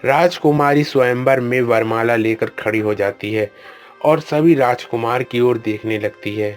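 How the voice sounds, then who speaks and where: A man's voice: medium pitch, a little slow, faint music behind. A man narrating a children's moral cartoon story in a plain, matter-of-fact way.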